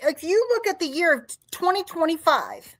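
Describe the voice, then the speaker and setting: A woman with a high-pitched voice, co-hosting a casual debate podcast. She argues emphatically and drops sarcastic remarks into the back-and-forth. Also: very animated, normal speed, a bit bright. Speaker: podcast co-host.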